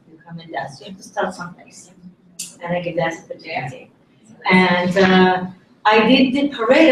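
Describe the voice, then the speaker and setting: A woman's voice: mid-range voice, slight background noise. Syrian-accented woman in an echoey studio. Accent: Syrian Arabic. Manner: chatty, laughing, broken English. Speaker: belly dance instructor. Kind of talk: casual group introduction. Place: large rehearsal studio, indoors. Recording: echoey room, far camera mic.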